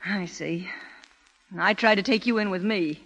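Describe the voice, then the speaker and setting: A woman's voice: medium pitch, measured pace, a bit bright, in a large hall, rough recording. An American actress playing a tough, plucky female numbers-game boss in 1930s radio drama style, on a vintage recording. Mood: bitter, hurt.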